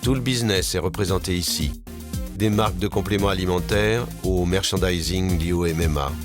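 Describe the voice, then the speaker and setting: A man's voice: low voice, moderate pace. A man reading scripted documentary narration as the narrator. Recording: narration over clearly audible music. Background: music.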